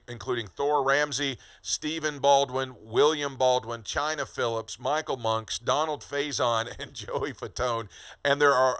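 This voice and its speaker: A man from Kentucky hosting a casual interview, friendly and a bit halting, with many fillers and restarts. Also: mid-range voice, sharp tone, no echo. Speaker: podcast host.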